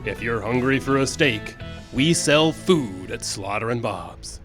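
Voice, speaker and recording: A man's voice: mid-range voice, expressive, unhurried, close to an everyday voice. Man playing a folksy adman over guitar music. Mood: reassuring salesmanship. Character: cheerful adman plugging a ghoulish vegan steakhouse. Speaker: advertisement announcer. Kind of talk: parody radio commercial read. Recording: live park show with guitar music. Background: plucked guitar music.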